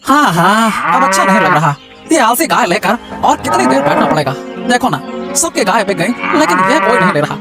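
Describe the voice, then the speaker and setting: A man's voice: high-pitched, very animated, moderate pace, loud music behind the voice. A man voicing an impatient, wily cattle seller in a cartoon, haggling and mocking in bursts of repeated words. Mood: grumbling. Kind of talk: exaggerated Hindi cartoon voice acting.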